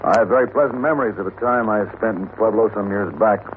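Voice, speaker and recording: An actor playing a cool, calculating hired gunfighter in a measured, dry, urbane way, in 1950s western radio style. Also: male voice, fairly low pitch, natural voice. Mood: composed narration. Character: cool, calculating male hired gunfighter, mature and self-assured. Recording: tinny, noisy old radio recording.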